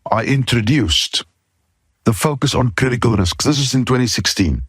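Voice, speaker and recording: A middle-aged or older man with a South African accent, hosting an informal podcast talk in a candid, emphatic way, pausing between short phrases. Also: medium pitch, slightly rough, dry recording, studio quality. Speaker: podcast host, safety consultant.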